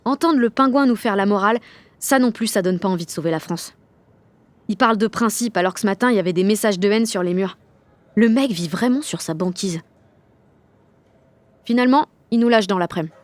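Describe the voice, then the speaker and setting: A woman's voice: medium pitch, a little fast, pauses often, no echo, close to an everyday voice. A woman playing a rebellious, sardonic sixteen-year-old schoolgirl who tells her story in blunt, swearing slang in an audio drama. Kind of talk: first-person audio drama narration in slangy speech.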